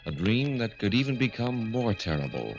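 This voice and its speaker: A man's voice: on the low side, sing-song, moderate pace. A man narrating a 1940s radio horror mystery as a grave, dramatic, portentous announcer. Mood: ominous. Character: ominous male narrator of a murder mystery. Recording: muffled old radio recording, music behind.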